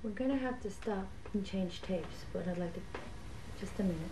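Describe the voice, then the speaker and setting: A woman's voice: mid-range voice, moderate pace. A woman interviewer asks short, direct questions in a mid-range voice. A faint hiss of background noise sits under the recording.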